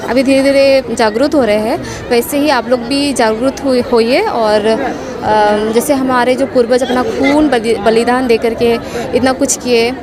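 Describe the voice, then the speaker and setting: A woman's voice: on the high side, fairly even intonation. A woman with a Jharkhand accent speaking hesitantly and spontaneously in an interview. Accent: eastern Indian, Jharkhand. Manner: halting, hesitant. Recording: noisy outdoor crowd.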